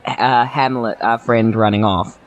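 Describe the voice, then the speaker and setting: A man improvises as a player in a tabletop game. His manner is dry and blunt, his style casual, and his voice medium in pitch. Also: steady tone, unhurried, muffled recording.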